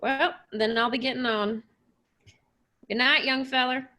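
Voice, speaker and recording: A folksy, easygoing country sheriff, played by a woman in a radio play. He gossips in a hesitant, rustic way, and the voice sits a little high. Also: steady tone, unhurried, sharp tone. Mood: folksy, cautioning.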